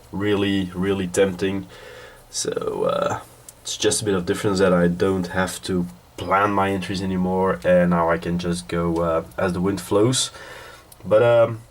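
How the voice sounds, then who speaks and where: A man from Belgium with a nasal voice from a cold, giving a casual, rambling hobby update in a halting, hesitant way. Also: low-pitched. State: has a cold. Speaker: hobby video host.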